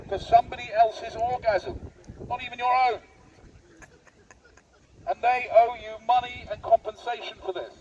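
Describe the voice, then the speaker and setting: British guy doing deadpan mock-serious speech thru a megaphone outdoors, dull distant sound, highish voice. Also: slight background noise. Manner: deadpan, provocative, addresses passers-by directly. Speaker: street speaker with megaphone. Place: outdoor public park.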